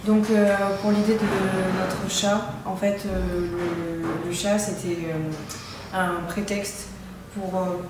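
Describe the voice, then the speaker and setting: A woman student explains a project haltingly in a very flat, slow voice. Loud music plays behind her. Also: mid-range voice, heavy reverb, poor audio.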